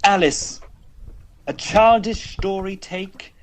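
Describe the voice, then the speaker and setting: A man reciting verse in a theatrical, measured way, as an expressive poetry reader. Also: high-pitched, a little hiss or hum.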